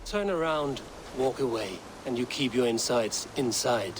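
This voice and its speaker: A man acts a stern Viking raider in a game, with a mid-pitched voice. He speaks in terse, measured, menacing phrases over loud ambient noise. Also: lively intonation, close to an everyday voice.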